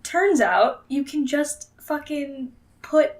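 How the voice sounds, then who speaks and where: A young adult woman co-hosting a podcast in casual comedic banter, excitable, giggly and sweary. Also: sits high, slow pace, distant mic. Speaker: podcast co-host.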